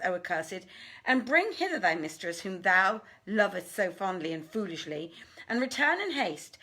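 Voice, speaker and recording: A woman's voice: fairly high pitch, bright, forceful tone, voice pushed up, full character voice. A woman voicing a proud, fierce, lovestruck winged female spirit in a storybook, declaiming commands in archaic language.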